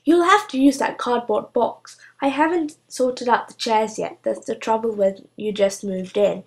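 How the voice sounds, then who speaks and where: A woman's voice: fairly high pitch, unhurried, vocal fry. A woman voicing a slovenly, eager-to-please witch in a storybook, with a fussing, over-friendly, exclamatory manner. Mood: coaxing, fussing.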